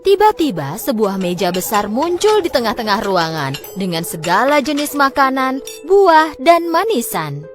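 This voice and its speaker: A woman narrating a scripted fairy tale in a dramatic, expressive storytelling manner. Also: a little high, a little slow, faint music behind.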